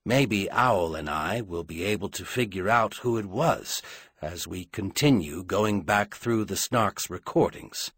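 A man voicing a calm, loyal royal advisor in an audiobook, quiet and deliberate as he reasons things through. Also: low-pitched, husky, dry recording, heavily acted. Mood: sober, apologetic.

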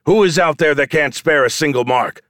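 A man performs a brash male showman from an audiobook, working a crowd for bets. He calls out loudly in a goading, declamatory way, with his pitch lifted. The language is coarse and the recording is dry. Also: animated, normal speed, dry recording, close to an everyday voice. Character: brash, foul-mouthed male showman hustling wagers from a crowd. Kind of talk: audiobook character dialogue.

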